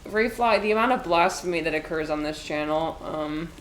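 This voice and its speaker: A woman in her twenties chats casually while streaming, giggling and rambling between thoughts. Her voice sits a little low. The room adds echo with a faint hiss underneath. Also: moderate pace. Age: 20s. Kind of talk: casual gameplay commentary. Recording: echoey room with faint noise.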